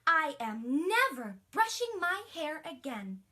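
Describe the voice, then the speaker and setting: A woman voicing a stubborn, defiant little princess in a children's bedtime story, shouting in protest. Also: high voice, sing-song, slow pace, pitched above the performer's own voice, heavily acted. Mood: scornful.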